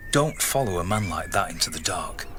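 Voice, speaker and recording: An actor playing a concerned, cautioning research colleague in a radio drama, earnest and emphatic. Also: male voice, sits low, lively intonation, normal speed, heavy background noise. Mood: earnest warning.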